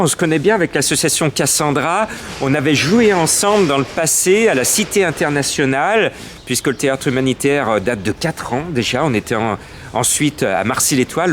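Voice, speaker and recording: A man's voice: on the high side, slight background noise. A man organizing a theatre event, speaking in an upbeat, inviting promotional interview.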